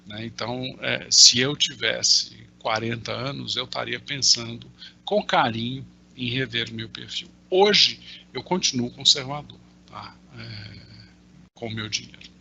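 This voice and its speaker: Man, 60s, Brazilian Portuguese accent, bright tense tone, hesitant, explains with examples, video call. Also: mid-range voice, a little slow, gravelly, lo-fi sound, slight background noise. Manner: hesitant, many fillers, explains with worked examples. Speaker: invited market analyst answering questions. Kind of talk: explanatory talk in a virtual Q&A.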